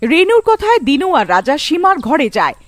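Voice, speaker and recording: High-pitched, animated woman storyteller. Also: brisk, no echo. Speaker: narrator. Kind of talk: scripted cartoon fairy-tale narration.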